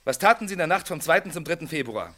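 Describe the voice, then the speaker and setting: An actor playing a shrewd, unhurried police inspector in a German radio play, probing in a courteous, matter-of-fact way. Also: male voice, fairly high pitch, expressive, bright, forceful tone.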